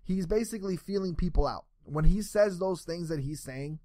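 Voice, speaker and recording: A man's voice: sits high, normal speed, mellow, dry recording. A young man from New York giving a solo sports opinion talk, rambling with frequent restarts and repeated words. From New York, USA. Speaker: sports commentary host.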